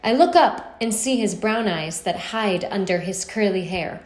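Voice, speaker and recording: A woman reading a first-person story aloud in an expressive storytelling style. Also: medium pitch, a little slow. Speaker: story reader. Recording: roomy, echoing space.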